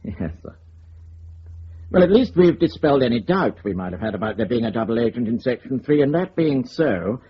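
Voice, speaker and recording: An actor playing a stern, exasperated security officer in a spy radio drama, speaking in a formal, reproving way. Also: male voice, mid-range voice, big pitch swings, fairly quick, slightly rough. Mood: formal, sober. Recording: dull lo-fi recording.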